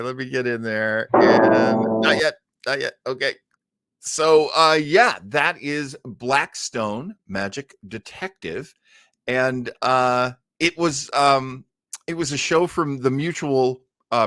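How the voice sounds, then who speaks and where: A man of medium pitch hosts a listening show with casual live commentary. He rambles and pauses over his phrasing. The sound is close and dry. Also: fairly bright tone, dry recording. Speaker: host of an old-time radio listening show.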